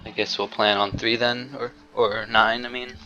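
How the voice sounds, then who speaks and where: A man's voice: medium pitch, flat delivery, moderate pace, muffled recording, heavy background noise. A man chatting casually with his crew while streaming a game. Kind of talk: casual chat while gaming. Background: game music.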